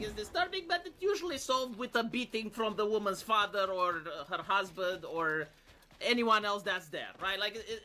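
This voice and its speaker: A man's voice: high-pitched, sharp tone, slight background noise. A man giving casual, off-the-cuff reaction commentary as a streamer, argumentative and sardonic, often throwing out rhetorical questions. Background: music behind the voice.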